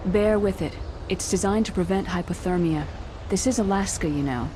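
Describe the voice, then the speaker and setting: A cool female military scientist played by a woman explains things in a lowish, composed voice. The tone is clinical, in video game drama style, with clear noise behind. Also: moderate pace, lightly acted. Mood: matter-of-fact.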